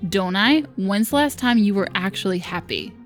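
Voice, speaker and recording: A woman voicing a wistful but quietly defiant young servant, reading visual novel lines aloud in a reflective way. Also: medium pitch, animated, normal speed, natural voice. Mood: dry defiance. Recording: music behind the voice.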